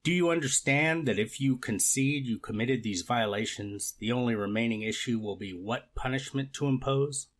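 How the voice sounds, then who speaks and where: Court official, played by a man: middle-register voice, measured, procedural, scripted legal reading. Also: medium pitch, natural voice. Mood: procedural, authoritative. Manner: measured, formal, methodical. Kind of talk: amateur readers theater, scripted legal reading.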